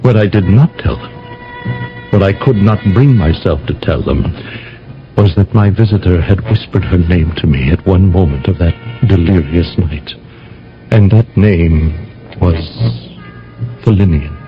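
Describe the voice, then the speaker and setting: A man's voice: low voice, unhurried. A man acting as an agitated, stammering young lover in a theatrical old-time radio drama. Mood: earnest, haunted narration. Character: agitated young lover insisting his night visitor lives. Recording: dull radio drama recording with music.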